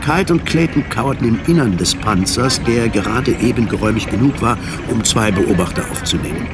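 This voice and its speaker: A man narrating a science fiction radio drama in a scripted, measured storytelling style. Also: on the low side, expressive, loud music behind the voice, lightly acted. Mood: measured, factual.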